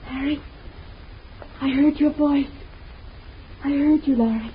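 A delirious, injured young wife. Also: female voice, high-pitched, fairly even intonation, slow, a little hiss or hum. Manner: faint, dreamy, yearning. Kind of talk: 1940s radio acting. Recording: tinny old radio recording from off-mic.

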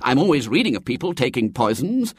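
A man's voice: a little high, sing-song, moderate pace, a bit bright, dry recording, studio quality, voice pushed up, full character voice. A male reader's hapless lovesick young man for a comic storybook: stammering, plaintive, raised voice, wildly swooping. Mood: indignant. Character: flustered, miserable, self-dramatising lovesick young man.

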